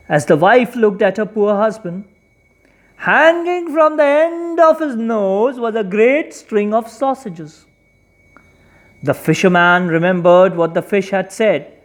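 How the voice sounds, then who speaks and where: A storyteller narrating a children's folktale expressively, with deliberate, dramatic emphasis. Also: mid-range voice.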